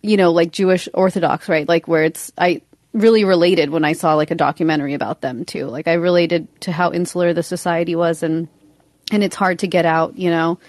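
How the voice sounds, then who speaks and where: A young woman, a podcast guest, talking in a relaxed way full of fillers, often trailing off softly. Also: on the low side, brisk, dry recording. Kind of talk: casual interview talk.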